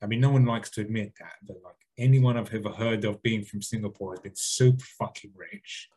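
Husky low-voiced man in casual podcast chat. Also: fairly even intonation, a little fast, warm tone, rough recording. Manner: rambling, hedging, swears freely. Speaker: podcast co-host. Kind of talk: casual chat, reading a listener email.

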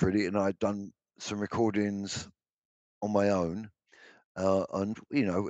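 An older man with a deep baritone voice, answering interview questions about his songs, hesitant with many restarts. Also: a little mellow, dry recording, low-quality recording. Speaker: musician guest.

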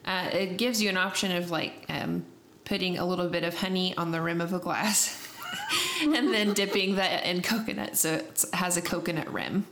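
A young woman with a slightly low voice, talking loosely over a faint hum of noise in the background. She is sniffly from allergies and rambles as she chats, full of fillers. Her tone is easy and conversational. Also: moderate pace, a bit bright. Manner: chatty and rambling, with many fillers and laughs. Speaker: podcast co-host. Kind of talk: casual chat.

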